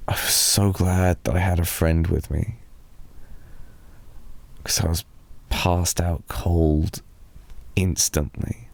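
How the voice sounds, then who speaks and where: A British man softly rambling in an improvised ASMR boyfriend roleplay, telling a drowsy, meandering story to lull a listener to sleep. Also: low-pitched, steady tone, gravelly. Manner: soft, slow, drowsy storytelling.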